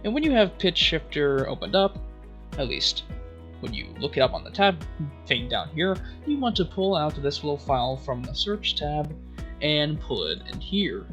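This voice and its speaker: A man narrating a scripted tutorial as its host, with theatrical, drawn-out emphasis. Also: a little high, big pitch swings, fairly bright tone, soft background music.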